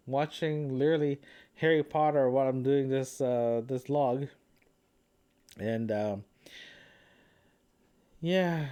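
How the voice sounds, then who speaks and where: A man who is sick and grieving records a reflective solo audio diary at home, speaking haltingly and searching for words. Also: mid-range voice, mellow. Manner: halting, searching for words, frequent throat clearing. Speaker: podcast host recording a personal audio log. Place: indoors at a family home.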